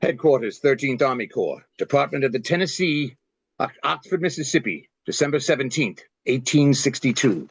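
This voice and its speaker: A man's voice: medium pitch, animated, moderate pace, slightly rough, dry recording. A man playing a stern, curt Civil War general in a table reading, speaking formally and with clipped authority. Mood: cold, official. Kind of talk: amateur play table reading. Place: online video-call reading. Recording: dull, dry video-call sound.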